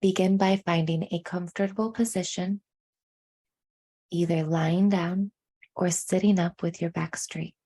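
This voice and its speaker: A woman leading a guided meditation in a hushed, soothing manner, with deliberate phrasing and frequent pauses. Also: lowish voice, steady tone, breathy edge.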